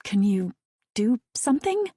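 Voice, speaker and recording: A woman's voice: mid-range voice, sing-song, a little slow, dark tone, breathy edge, dry recording, pitched above the performer's own voice. A woman voicing an eager young woman, hesitant and breathless in her replies. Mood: unsure.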